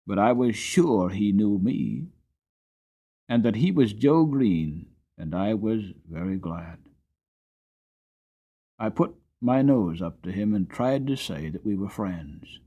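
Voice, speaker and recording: A man giving a dramatic storybook narration as an audiobook reader, expressive and deliberate. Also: fairly low pitch, breathy edge, lo-fi sound.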